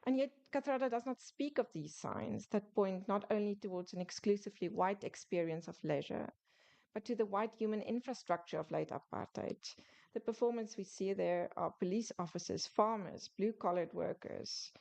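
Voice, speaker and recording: A woman's voice: mid-range voice, creaky, darkish tone. Woman reading an academic book talk. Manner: measured, reads closely from a script with small restarts. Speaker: author presenting her book. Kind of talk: prepared academic lecture.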